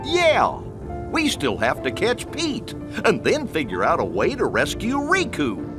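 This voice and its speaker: A man's voice: a little high, huge pitch swings, unhurried. A man voicing a kind-hearted, loyal cartoon dog sidekick in a video game, with a dopey drawl. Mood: warm, cheerful. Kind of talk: animated game voice acting. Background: game music.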